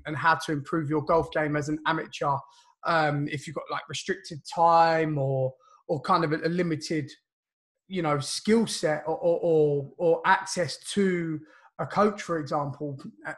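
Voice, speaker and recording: Croaky-voiced man hosting a video-call podcast. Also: a little high, a bit bright. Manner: chatty, loose, lots of fillers and restarts. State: croaky voice from illness. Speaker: podcast host. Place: home room, indoors. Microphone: video call webcam.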